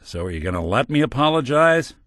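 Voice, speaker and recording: An actor playing a weary, wry male synth detective in a game, with a dry, world-weary noir drawl that turns gruff in anger. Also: medium pitch, very animated, a little fast, a bit bright, dry recording. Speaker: companion character. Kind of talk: game voice lines. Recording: dry studio recording.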